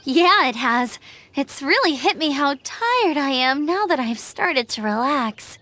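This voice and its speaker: A woman voicing an energetic, friendly young female scout in a video game, perky and expressive. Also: sits high, unhurried, no room sound, full character voice. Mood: relaxed. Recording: clear game music under voice.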